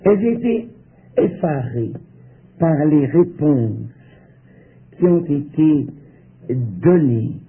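Male preacher, unhurried sermon delivery built on pauses and rhetorical questions, thin lo-fi phone sound. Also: on the high side, expressive. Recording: tinny phone-like line.

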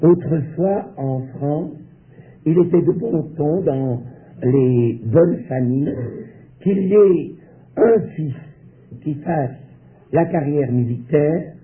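Male preacher, unhurried sermon delivery built on pauses and rhetorical questions, thin lo-fi phone sound. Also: fairly high pitch, animated. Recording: tinny phone-like line.

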